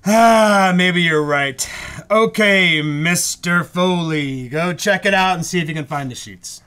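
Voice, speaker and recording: A man playing a fretful, hurried studio boss in a live old-time radio drama, stammering and repeating himself. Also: high-pitched, pushed and bright, smooth, heavily acted. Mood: reluctant.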